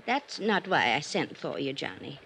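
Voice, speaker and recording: An actress playing an ailing, aging society woman in an old-time radio drama, gravelly and stubbornly dismissive. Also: low voice, big pitch swings, moderate pace, a bit bright, heavily acted. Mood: confiding. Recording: noisy old radio recording.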